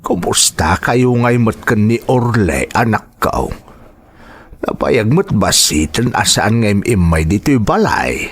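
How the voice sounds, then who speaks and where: A man acts out an elderly Filipino father in a radio-style audio drama. His voice is low and hoarse-edged, swinging widely in pitch as he gently counsels his grown daughter with concern. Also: very animated, slow pace, full character voice.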